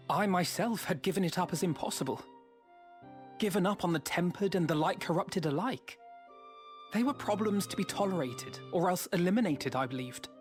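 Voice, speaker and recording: Young male game scholar: earnest, refined, eloquent archaic speech, light music behind. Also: fairly high pitch. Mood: regretful. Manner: formal, eloquent, archaic diction. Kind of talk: scripted video game cutscene acting.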